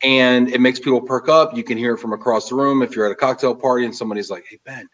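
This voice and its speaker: A man hosting a casual interview, talking in an animated, emphatic way as he gives advice. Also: medium pitch, quick pace, distant mic, highs cut off. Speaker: host. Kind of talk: casual interview and live chat.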